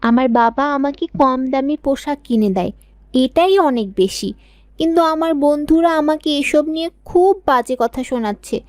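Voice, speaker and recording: A woman's voice: high-pitched, normal speed, no room sound, close to an everyday voice. A woman voicing a humble, polite young girl from a poor family in a scripted moral cartoon. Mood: hurt. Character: poor tailor's young daughter, humble and long-suffering.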